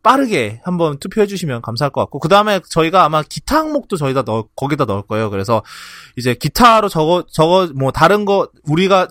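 A man hosting a podcast in a casual, halting way, often clearing his throat between phrases. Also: fairly high pitch, a bit bright, no echo, clean studio sound. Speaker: podcast host. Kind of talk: casual podcast outro and announcements.

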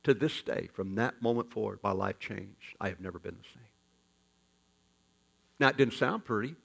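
A man pastor preaching a sermon as folksy, joking storytelling, with sudden loud rises in his delivery. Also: fairly low pitch, normal speed, gravelly.

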